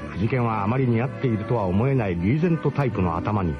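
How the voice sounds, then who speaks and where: A man acting a wry narrator with lively intonation. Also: lowish voice, quick pace, muffled recording, over music, lightly acted. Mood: wry, nostalgic. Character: wry, nostalgic adventurer man looking back on his gang. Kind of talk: scripted first-person radio drama narration.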